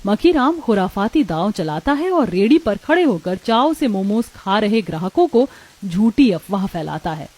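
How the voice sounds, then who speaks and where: A woman serves as narrator of a cartoon tale. Her voice is mid-pitched and on the mellow side, delivering scripted storytelling. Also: big pitch swings, moderate pace.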